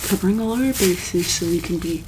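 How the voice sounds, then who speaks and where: A woman speaking softly in an ASMR medical roleplay as a calm, caring doctor, halting often with pauses and ums. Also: lowish voice, noisy background. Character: calm, caring female monster-hospital doctor.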